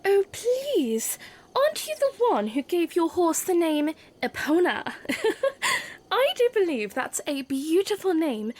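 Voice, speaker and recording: Audio drama princess female actor: young, high and lilting, unhurried, playful yet earnest, formal in phrasing. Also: heavily acted. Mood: teasing. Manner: expressive, formal wording, teasing, hesitant when flustered. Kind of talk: audio drama acting of fan fiction.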